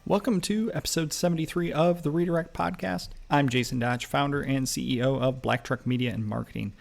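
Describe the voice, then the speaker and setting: A man's voice: mid-range voice, steady tone, fairly quick, creaky, soft background music. A man hosting a podcast, asking long, rambling questions full of repeated words. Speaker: podcast host, company founder. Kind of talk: podcast interviewing.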